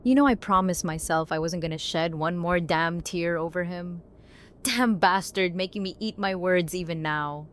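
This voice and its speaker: A woman's voice: fairly low pitch, normal speed, smooth, close to an everyday voice. A woman playing a tough, grieving young survivor in an audio drama, speaking in a blunt, weary way. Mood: grieving, bitter. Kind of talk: fan audio drama acting.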